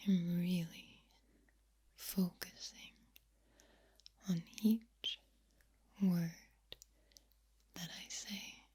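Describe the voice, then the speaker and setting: Female ASMR host: very breathy whisper, extremely unhurried, lingering and repeating words, close-miked. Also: fairly low pitch, fairly even intonation, dark tone. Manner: deliberate, drawn-out delivery with repeated words. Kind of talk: soft-spoken ASMR, mostly whispered. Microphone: close, sensitive microphone.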